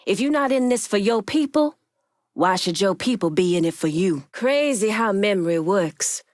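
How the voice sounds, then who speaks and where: A woman's voice: medium pitch, dry recording, studio quality, close to an everyday voice. An actress playing a proud, shrewd woman crime boss in a video game, speaking firmly and bluntly. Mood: measured, pointed.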